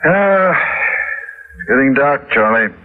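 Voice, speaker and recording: An actor playing a brusque, dismissive police inspector in an assured, authoritative 1940s radio drama manner. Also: male voice, medium pitch, animated, slow pace. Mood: brisk, dismissive. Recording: muffled old radio drama broadcast.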